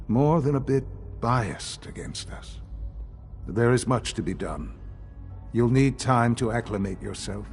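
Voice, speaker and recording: Game role: aged male science director, low-pitched, calm, formal and grave, music underneath. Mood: gracious, formal. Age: older. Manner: measured, formal, gravely earnest. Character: elderly calm male leader of secretive science institute. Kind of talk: video game dialogue acting.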